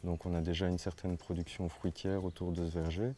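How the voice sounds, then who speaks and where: Very deep, mellow, even-toned man. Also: fairly quick, faint music behind. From Belgium. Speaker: farm project founder. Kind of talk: documentary interview, spontaneous speech.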